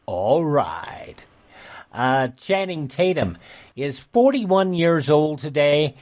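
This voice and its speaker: A man hosting a radio show, reading out an almanac with casual asides in a halting, conversational way. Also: fairly high pitch, very animated, darkish tone. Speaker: radio host.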